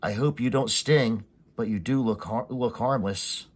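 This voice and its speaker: A man's voice: medium pitch, unhurried, lightly acted. A man voicing a playful giant child from a comic story, eager, coaxing and protective of a pet.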